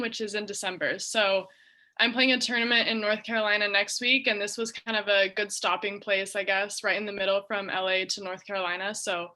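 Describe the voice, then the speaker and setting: A woman's voice: medium pitch, sharp tone. A young American woman from Southern California, a college golfer chatting in an interview with plenty of "um" and "kind of". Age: young adult. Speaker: university golfer, guest. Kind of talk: casual interview answers. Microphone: video call.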